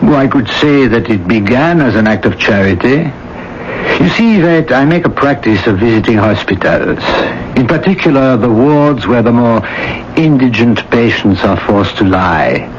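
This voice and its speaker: A man in a radio drama playing a devoted middle-aged French gentleman with a gentle, courtly, measured manner. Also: mid-range voice, expressive, natural voice. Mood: calm, smooth. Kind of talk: old-time radio drama acting. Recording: dull, faintly noisy old radio broadcast.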